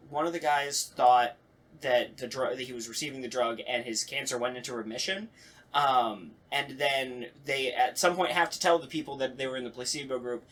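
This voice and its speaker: A man's voice: mid-range voice, a bit bright. Informal man thinking aloud in discussion. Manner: halting, informal, slangy. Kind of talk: casual panel discussion.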